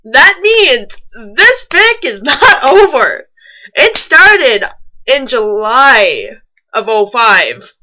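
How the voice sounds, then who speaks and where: A woman's voice: high voice, very animated, very slow, pushed and bright, rough recording. A woman co-hosting a comedic fan fiction reading, reading aloud and breaking off for casual, mock-exasperated commentary.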